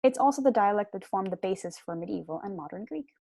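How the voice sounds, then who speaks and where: A woman's voice: mid-range voice, animated, fast, creaky, warm tone, dry recording, clean studio sound. A young woman giving a prepared seminar lecture in an explanatory, articulate manner, recorded at home on a computer mic. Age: young adult. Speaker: lecturer, PhD student. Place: small room at home.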